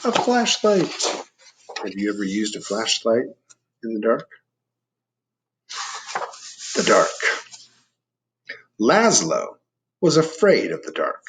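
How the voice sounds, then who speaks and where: A man's voice: fairly low pitch, huge pitch swings, slow pace, pauses often, a little hiss or hum. A man reading a picture book aloud to children, explaining things and putting questions to the listeners in chatty asides. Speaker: storybook reader.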